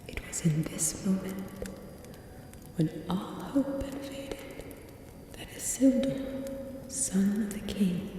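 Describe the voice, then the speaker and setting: A woman voicing a solemn narrator of an ancient fantasy legend in breathy ASMR whispers, with slow, dramatic pauses. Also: on the low side. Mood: grave. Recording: roomy long reverb, distant, background hiss.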